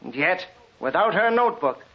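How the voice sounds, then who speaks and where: High-voiced, stern accusing radio investigator. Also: male voice, very animated. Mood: solemn. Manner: deliberate, dramatic, pressing. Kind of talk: 1940s radio acting. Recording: muffled vintage radio recording.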